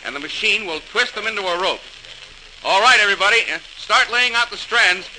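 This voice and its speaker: A man's voice: high voice, brisk, natural voice. An actor playing a determined, earnest male engineer in old-time radio drama style, with emphatic, stagey delivery on a noisy old recording. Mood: hearty, eager. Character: John Roebling, earnest, determined male engineer inventor rallying his neighbors. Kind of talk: 1940s radio drama acting.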